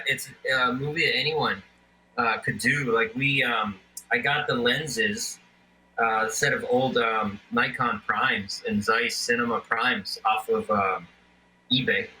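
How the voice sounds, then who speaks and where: A man's voice: medium pitch, bright, forceful tone, a little hiss or hum, poor audio. A man, an independent filmmaker, talking casually about his film with many fillers and restarts. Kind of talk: casual interview chat.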